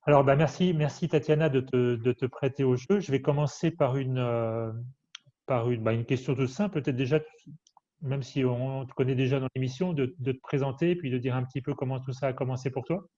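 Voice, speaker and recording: A man's voice: mid-range voice, steady tone, fairly quick, warm tone. A man hosting an interview show, introducing his guest in a hesitant way with frequent fillers and restarts. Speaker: host. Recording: dull lo-fi sound.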